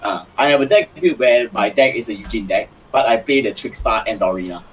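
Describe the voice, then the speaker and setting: Animated male streamer, casual card game chat, lively pitch, faint background hiss. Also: brisk, rough recording. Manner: animated, laughing, many restarts.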